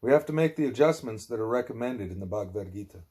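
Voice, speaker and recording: Male audiobook reader, lower-pitched, deliberate and emphatic delivery of a scripted spiritual text. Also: animated, dark tone. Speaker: audiobook narrator, reading a spiritual text aloud. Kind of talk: scripted narration, broken by asides to a small child.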